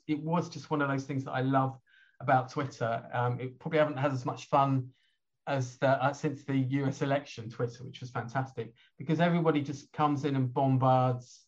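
A hoarse man, hosting an online chat show in a rambling, chatty way with lots of fillers. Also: medium pitch, dull audio. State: hoarse from a cold. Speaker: host.

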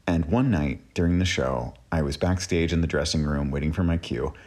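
A man with an extremely low, husky voice tells a personal story as the host. His delivery is conversational and casual, like someone recounting his own experience. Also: moderate pace, a little mellow.

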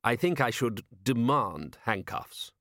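A man voicing an unruffled aristocratic ruler, polite and measured, with dry mischief and feigned innocence, in an audiobook. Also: mid-range voice, big pitch swings, fairly bright tone, no room sound, full character voice.

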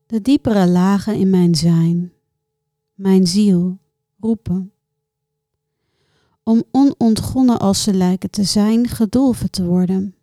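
Slow, very mellow woman reciting poetry. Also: medium pitch, dry recording, clean studio sound. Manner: measured, contemplative delivery with deliberate pauses. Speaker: poet reciting own poems.

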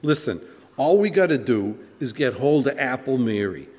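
A man's voice: mid-range voice, very animated, normal speed, a little mellow, natural voice. A man acting a cocky, scheming small-time racketeer in a live radio drama, with sneering, slangy tough-guy talk. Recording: reverberant live hall recording.

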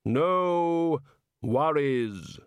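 The grim reaper in an audiobook, polite, measured, implacable, altered timbre, close dry sound. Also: male voice, on the high side, animated, clear, resonant tone, dry recording, voice pushed up. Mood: polite, unbothered.

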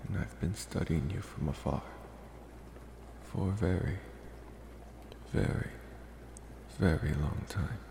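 Guy doing a low voiced mysterious vampire crushing on you, asmr roleplay, reassuring and hesitant, lots of reverb. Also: gravelly, dark tone, a little hiss or hum, full character voice. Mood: calm, reassuring. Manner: slow, reassuring, hesitant with deliberate pauses. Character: soft-spoken male college-age vampire, politely infatuated, mysterious.

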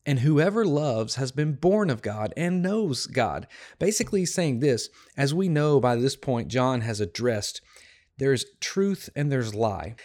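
Man, slightly hoarse, tired voice, devotional podcast host, earnest and encouraging Bible teaching, average pitch. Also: darkish tone. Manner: earnest, encouraging, emphatic repetition.

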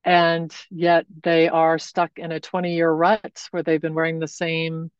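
A woman wardrobe consultant giving thoughtful, measured answers as a podcast guest. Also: low voice, monotone, smooth, no echo, highs cut off. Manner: thoughtful, measured explanations with pauses.

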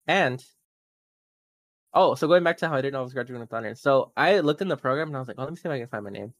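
A man's voice: mid-range voice, no room sound. A man telling a story in casual chat on a podcast, acting out the voices he quotes. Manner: fast, animated, acts out quoted voices. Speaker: podcast co-host.

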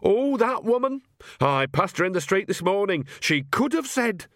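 A man's voice: high-pitched, sing-song, normal speed, fairly bright tone, no echo, studio quality, pitched above the performer's own voice. A man voicing an earthy, shrewd old woman witch with a blunt, folksy, teasing manner in an audiobook. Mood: indignant.

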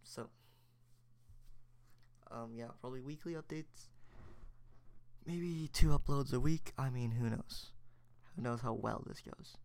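A man's voice: medium pitch, a little slow, vocal fry, long pauses, a little mellow. A young man hosting his own podcast alone, chatting casually and reflectively, rambling with many fillers and restarts. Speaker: podcast host. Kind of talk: solo casual chat.

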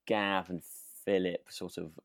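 A man's voice: low-pitched, little pitch movement, measured pace, no room sound, clean studio sound. A man co-hosting a podcast, recapping a radio drama in a casual, rambling way with frequent tag questions. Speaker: podcast co-host.

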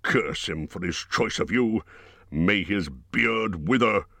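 Guy doing a deep grumpy dwarf king, slow and heavy, very stern. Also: no room sound. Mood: furious. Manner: slow, stern, forceful delivery. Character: proud, wrathful male dwarf king.

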